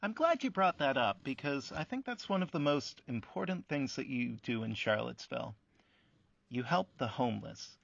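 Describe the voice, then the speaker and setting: Dull, dry recording. Casting a male actor for an affable, reflective radio-play host with a creaky, animated voice. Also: medium pitch, normal speed, no echo, heavily acted. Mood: appreciative. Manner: reflective, conversational, agreeable. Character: thoughtful, affable male interviewer of talking buildings. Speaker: interview host. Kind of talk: radio play interview, casual.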